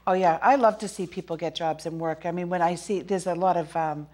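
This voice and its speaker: A middle-aged woman with a Boston accent, hosting a local talk show interview, chatty and quick-talking with many restarts. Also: on the low side, fairly even intonation, darkish tone.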